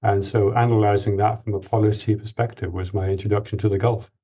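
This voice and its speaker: Greek-raised guy in his 40s, low even voice, explaining stuff, kinda muffled lo-fi audio. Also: husky. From Greece. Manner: measured, explanatory, with restarts. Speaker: guest expert. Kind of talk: interview answers.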